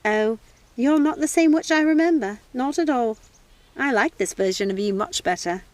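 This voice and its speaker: Woman acting a kindly old storybook lady, folksy, high-pitched, fond, gently amused. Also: moderate pace, no room sound, close to an everyday voice. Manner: folksy, addresses others as dear. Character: kindly chuckling old woman, ready to pass on.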